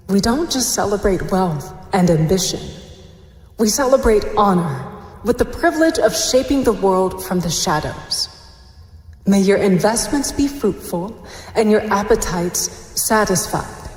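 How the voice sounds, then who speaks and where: A woman's voice: mid-range voice, close to an everyday voice. Actress as stately spokeswoman, echoing hall. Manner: formal, stately, ceremonial. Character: poised female spokeswoman for a secret elite society. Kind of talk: ceremonial announcement in audio drama. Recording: echoey hall public-address reverb.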